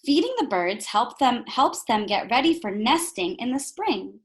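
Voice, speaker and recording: A woman's voice: a little high, a little slow. A woman reading a picture book aloud to young children like a teacher, expressively, with explanatory asides and questions to her listeners. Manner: animated, instructive, asks rhetorical questions of young listeners.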